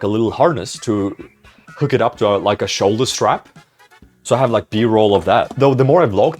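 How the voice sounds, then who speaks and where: A man's voice: medium pitch, darkish tone, husky. A young man answering viewer questions in a casual vlog, rambling, self-mocking and swearing offhandedly. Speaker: vlogger.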